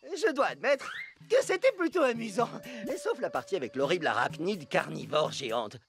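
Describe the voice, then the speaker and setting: Excitable, swooping cartoon monkey guide. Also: male voice, sits high, normal speed, vocal fry, faint music behind, heavily acted. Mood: cheerful. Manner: exuberant, eager, theatrical. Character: excitable, friendly male monkey jungle guide. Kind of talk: cartoon voice acting, French dub.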